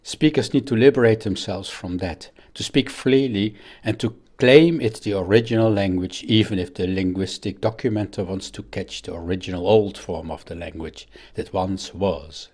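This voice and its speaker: A man in a low voice. He delivers a prepared statement in the manner of a university professor. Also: normal speed, few pauses, a little mellow. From Netherlands. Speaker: professor of African languages.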